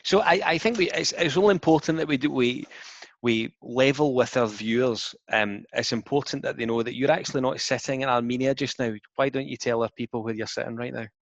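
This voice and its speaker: A man's voice: medium pitch, fairly quick, no room sound. Man hosting over a dull, dry call. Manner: chatty, with frequent restarts and fillers. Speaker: podcast host. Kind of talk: casual podcast interview. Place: home room, video call. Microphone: headset.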